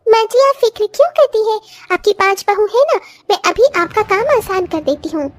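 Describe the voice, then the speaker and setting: A woman's voice: very high-pitched, expressive, moderate pace. A woman voicing an eager, dutiful young village daughter-in-law in a cartoon story, sweet and reassuring. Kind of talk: Hindi cartoon story voice acting.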